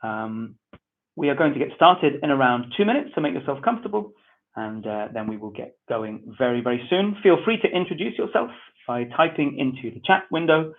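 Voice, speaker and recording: Man, Manchester accent, webinar host, relaxed friendly instructions, tinny phone-like sound. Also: mid-range voice, a little fast. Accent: Mancunian English. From England. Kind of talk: webinar welcome and housekeeping. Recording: tinny phone-like online audio.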